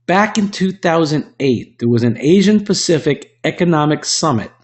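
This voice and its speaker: A man with a slightly rough voice from illness, presenting an online webinar into a computer mic in a salesy way with frequent restarts. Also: on the high side, expressive, moderate pace. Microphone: computer microphone.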